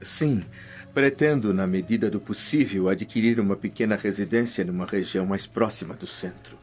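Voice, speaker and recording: An actor playing a humble, deferential middle-aged scholar in a Brazilian radio drama, speaking in formal, measured phrases. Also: male voice, fairly low pitch, darkish tone, natural voice. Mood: polite, modest. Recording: muffled radio drama with music underneath.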